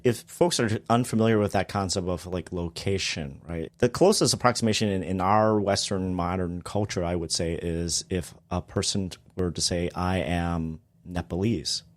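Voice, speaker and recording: A man's voice: low voice, steady tone, normal speed, few pauses. A man, a guest on a podcast, explaining theology in a casual discussion with emphatic bursts on key points.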